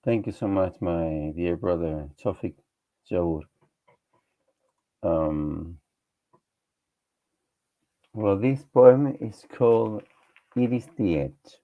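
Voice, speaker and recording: A man from Chile reciting his poem slowly and earnestly as a guest poet. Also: deep voice. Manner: slow, earnest reading with pauses. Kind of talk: poetry recitation. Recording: dull lo-fi online call audio.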